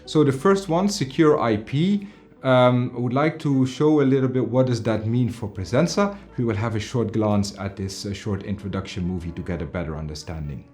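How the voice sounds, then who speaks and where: A man's voice: medium pitch, normal speed, darkish tone, faint music behind. A man in his forties giving a semi-scripted product presentation to camera in an indoor studio.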